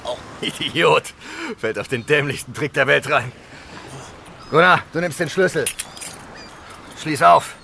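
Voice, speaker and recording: A man's voice: on the high side, unhurried, creaky, long pauses, sharp tone, slight background noise, heavily acted. A man playing a callous, sarcastic henchman in a horror radio drama, speaking with a mocking sneer.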